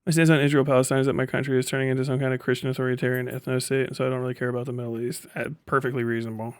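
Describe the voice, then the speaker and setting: A man's voice: medium pitch, level tone, racing, clean tone, no room sound. A man chatting casually as a live streamer, rambling and sardonic, reading things aloud and swearing offhandedly.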